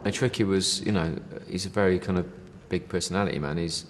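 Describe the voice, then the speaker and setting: Deep voiced bloke rambling quick in an interview, lots of you know, bit of hall reverb. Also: male voice, slight background noise. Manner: rambling, many fillers, says "you know" and "mate". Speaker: band member interviewed. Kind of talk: casual interview chat.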